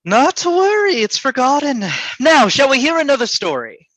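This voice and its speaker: A woman's voice: high voice, very animated, moderate pace, a bit bright, dry recording. Woman acting mocking, wildly lilting Lord Byron. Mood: playful. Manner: mocking, drawling, theatrical. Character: Lord Byron, teasing, arrogant, playful male poet. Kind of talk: staged reading of a period drama.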